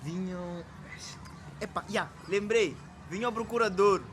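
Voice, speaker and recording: High-voiced muddled schoolboy. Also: male voice, a little slow, fairly bright tone, faint music behind. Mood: unsure, guessing. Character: muddled, hesitant school pupil guessing answers. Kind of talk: radio drama acting. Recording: faint background noise.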